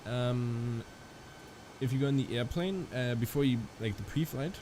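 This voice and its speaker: A man's voice: mid-range voice, moderate pace, noisy background. A man live-streaming a flight simulator, chatting casually with viewers and rambling through fillers and restarts.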